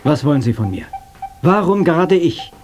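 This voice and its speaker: A man's voice: on the high side, big pitch swings, moderate pace, darkish tone, soft background music. An actor playing a defiant, sceptical young man in a German radio play, blunt and sarcastic. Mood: wary, challenging. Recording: clear background noise, cassette transfer.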